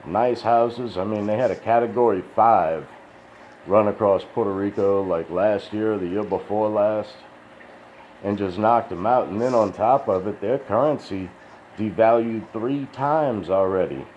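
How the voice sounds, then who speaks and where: A man's voice: lowish voice, unhurried. A man rambling in a casual, profane, folksy monologue, sounding tired and dry-mouthed. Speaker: crypto video host. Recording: dull lo-fi sound with faint hiss.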